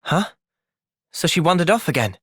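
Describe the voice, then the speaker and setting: A man playing a gentle, thoughtful young soldier hero in a video game, earnest and reflective in manner. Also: fairly high pitch, sing-song, moderate pace, slightly rough, dry recording, clean studio sound, heavily acted. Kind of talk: video game cutscene voice acting.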